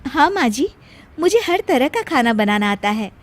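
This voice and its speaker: A woman's voice: high-pitched, very animated, moderate pace, pitched above the performer's own voice. A woman narrator voicing a sweet, eager new daughter-in-law, polite and obliging, in a story. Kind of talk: dramatized story dialogue. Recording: music bed under the voice.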